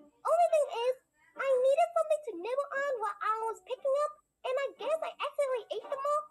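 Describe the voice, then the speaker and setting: A woman's voice: very high voice, quick pace. A woman voicing a sweet, naive little filly in a cartoon fairy tale. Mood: innocent, sheepish. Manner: innocent, earnest, folksy. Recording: music behind the voice.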